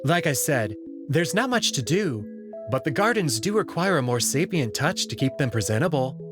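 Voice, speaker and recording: A man voicing a polite, discreet young alien servant with a courteous, measured and gently candid manner, in a visual novel. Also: a little high, sing-song, music in the background. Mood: pleasant, explaining. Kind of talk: visual novel character read-through.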